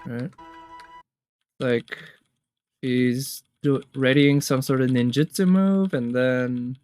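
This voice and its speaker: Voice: mid-range voice, slow pace, a little mellow, clear, resonant tone, no echo. A reviewer with a mid-range voice that could be a man's or a woman's gives casual reaction commentary. The delivery is conversational and musing, with music playing behind it. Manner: conversational, musing, thinking aloud. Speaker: reviewer reacting to game trailers. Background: game trailer music.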